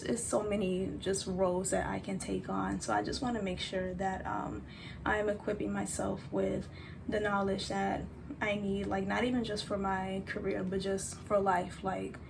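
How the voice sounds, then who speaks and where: A woman vlogger with a soft voice, chatting casually to camera at home with lots of fillers. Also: medium pitch, creaky. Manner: chatty, rambling, many fillers. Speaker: lifestyle vlogger. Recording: loud fan noise behind. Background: loud fan.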